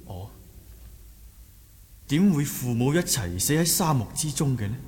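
A young martial-arts sect leader with a Cantonese accent. His mid-pitched delivery is courteous, measured and fair-minded, in radio drama style, set in a long reverberant hall. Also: big pitch swings, darkish tone, slight background noise. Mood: curious.

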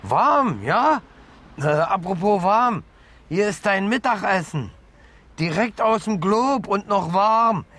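A helpful factory workmate in a radio play. His voice is high for a man, and he chats in a casual, friendly way. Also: lively intonation, takes it slowly, fairly bright tone, heavily acted. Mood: chipper. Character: friendly, helpful male workmate bringing lunch. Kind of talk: radio drama acting, casual chat.

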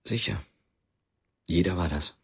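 A man's voice: low voice, a little slow, creaky, husky, heavily acted. An actor playing a reserved, laconic man in a radio drama, speaking tersely and hesitantly in a naturalistic way. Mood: terse, guarded. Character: reserved, laconic East German man hiding a fugitive woman.

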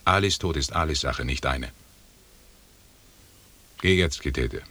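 An imperious expedition leader in a radio drama. His voice is extremely low and husky. He speaks with calm, formal authority, weighing each statement. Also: a little slow, exaggerated voice. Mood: calm, stern. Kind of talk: German radio drama acting.

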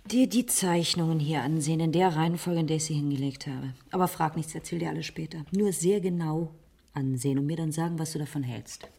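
An actress playing a pensive, insistent woman in a German radio crime drama, measured and probing in her brief replies. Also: sits low, vocal fry, dark tone, breathy edge, heavily acted. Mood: businesslike. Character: pensive, insistent woman puzzling over a child's drawings.

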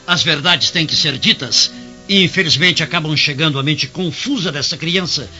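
A man's voice: fairly high pitch, moderate pace, pushed and bright. A man playing a proud, bitter Roman husband and father in a radio drama, with emphatic, declamatory delivery. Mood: bitter anger. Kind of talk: Brazilian radio drama acting. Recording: muffled band-limited audio, faint music behind.

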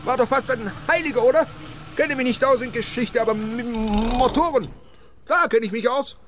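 An actor playing a simple gas station employee who stammers and repeats words in a radio play. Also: male voice, very high voice, normal speed, background noise. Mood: naive, chatty.

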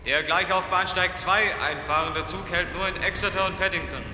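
Man voicing a station announcer, high voice, echoey hall, distant, noisy. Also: fairly even intonation, moderate pace, close to an everyday voice. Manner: loud calling announcements. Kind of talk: radio drama acting.

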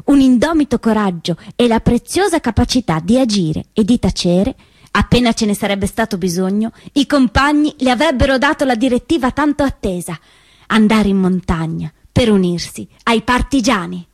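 An actress playing a spirited, cheeky teenage partisan girl, telling her story in an animated, dramatic stage monologue. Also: a little high, normal speed. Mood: proud. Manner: expressive, dramatic phrasing, shifts between voices.

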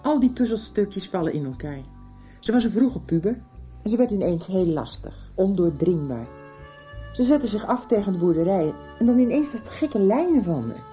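A woman's voice: medium pitch, big pitch swings, moderate pace, warm tone, faint music behind, lightly acted. A woman acting a worried, insistent middle-aged mother in a radio soap. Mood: grave, insistent.

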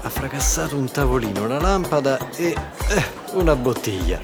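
A wry, commanding party host played by a man, speaking in a mid-pitched voice that swings up and down. He takes charge in radio drama style, with music clearly behind him. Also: sing-song, normal speed. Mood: wry amusement. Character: Xavi, take-charge male party host, wry and bossy.

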